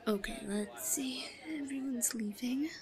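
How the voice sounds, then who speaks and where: A woman performs a shy yandere schoolgirl in an audio roleplay, obsessive and quietly scheming. Her voice is fairly high and breathy, often sinking into whispers. She mutters to herself slowly and haltingly, with a faint murmur of a crowd behind her. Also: close to an everyday voice. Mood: furtive, scheming. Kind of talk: roleplay audio drama monologue. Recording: low-quality recording with faint crowd murmur.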